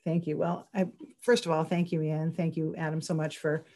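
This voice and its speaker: A woman's voice: sits low, flat delivery, moderate pace, mellow. A woman speaking on a video call as a festival organizer and writer, chatting and reading her essay aloud.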